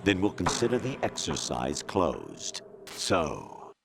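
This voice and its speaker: Very deep, cold machine commander. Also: male voice, wildly swooping pitch, creaky, exaggerated voice. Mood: coldly commanding. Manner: measured, clinical, authoritative. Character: cold commanding artificial intelligence posing as colonel. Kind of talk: video game cutscene acting. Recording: loud music over the voice. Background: loud music.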